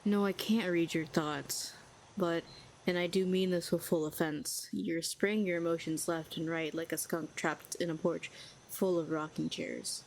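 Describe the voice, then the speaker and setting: A woman's voice: lowish voice, steady tone, a little slow, gravelly, darkish tone, slight background noise, poor audio. A woman playing a cold sardonic villain telepath. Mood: probing, sardonic. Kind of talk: audio roleplay acting.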